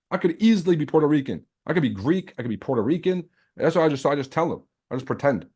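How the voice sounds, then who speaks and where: A man's voice: mid-range voice, darkish tone, slightly rough. A man from Lebanon, a native Arabic and French speaker, hosting a livestream in casual, slangy, boastful chat peppered with swearing. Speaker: trading livestream host. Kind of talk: casual chat with live viewers.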